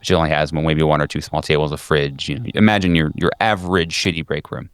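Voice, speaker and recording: A man narrating a tabletop horror game as game master, improvising in a conversational, halting, descriptive way. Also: rumbling low, fairly even intonation, smooth, dry recording.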